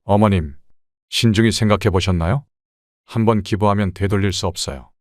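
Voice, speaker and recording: A man acts out a careful, polite legal clerk with a very deep voice. He sounds dry and close, as in a studio audio drama. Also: a little fast, dry recording, lightly acted. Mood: concerned. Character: cautious middle-aged male legal scrivener.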